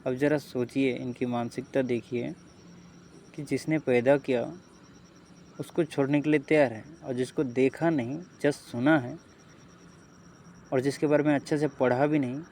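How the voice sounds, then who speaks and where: A man's voice: medium pitch, fairly even intonation, a little slow, pauses often, darkish tone, slight background noise. A man giving disapproving spoken commentary to viewers. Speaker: commentator on a recorded call.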